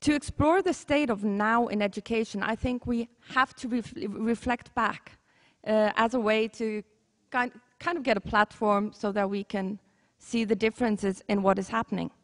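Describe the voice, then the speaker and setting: A woman's voice: on the high side, fairly even intonation, normal speed. A woman from Iceland with an Icelandic accent, a teacher giving an earnest, conversational talk and correcting herself as she goes. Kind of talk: conference talk. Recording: long hall reverb.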